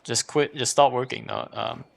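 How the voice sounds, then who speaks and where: A man's voice: medium pitch, steady tone, moderate pace, vocal fry. A man giving casual live gameplay commentary, thinking aloud as he repeats and questions himself. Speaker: game livestreamer.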